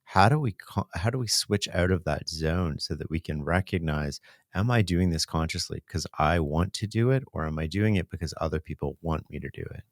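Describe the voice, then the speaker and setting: Male host, very deep gravelly voice, dry close sound, probing questions. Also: a little fast, dry recording. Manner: earnest, probing, restates ideas. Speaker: podcast host. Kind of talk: interview questions.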